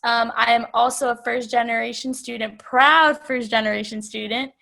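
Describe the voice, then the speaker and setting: Creaky, higher-voiced young woman. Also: fairly even intonation, normal speed, sharp tone. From Uruguay and New Jersey. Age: young adult. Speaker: panelist, college student. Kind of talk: casual personal introduction on a panel. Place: online video call.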